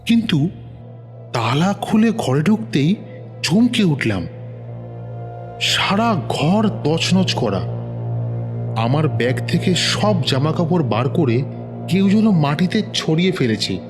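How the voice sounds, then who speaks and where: A man narrating a first-person horror tale in a measured, suspenseful manner. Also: fairly high pitch, very animated. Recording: long reverb, music underneath.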